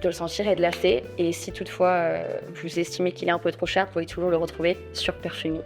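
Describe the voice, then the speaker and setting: A woman contestant pitching a product to judges off the cuff, with lots of fillers and a chatty, persuasive manner. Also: little pitch movement, fast talker, a little mellow, faint music behind. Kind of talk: improvised sales pitch.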